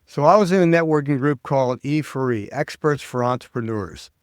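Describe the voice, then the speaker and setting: An older American man, a public speaking coach, chatting as an interview guest and telling stories with lively quoted voices. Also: medium pitch, a little fast, clear, resonant tone, no room sound, clean studio sound. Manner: animated storyteller, acts out quoted voices. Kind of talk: casual interview chat.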